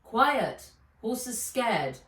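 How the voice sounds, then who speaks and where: A woman's voice: a little high, big pitch swings, extremely slow, fairly bright tone, pitched above the performer's own voice, full character voice. Woman playing a curt boy guide, echoey room. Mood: commanding. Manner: clipped, commanding broken English. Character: brisk foreign boy horse guide, few words. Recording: echoey room, distant from mic.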